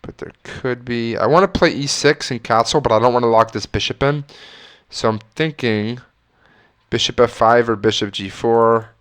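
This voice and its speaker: Guy with a stuffy nose talking thru chess moves, smooth clear voice, mid pitch, keeps second-guessing himself. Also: moderate pace, fairly bright tone. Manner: musing, self-correcting, talks through moves. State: stuffy nose, slight cough. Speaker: chess streamer. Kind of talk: thinking aloud while playing chess.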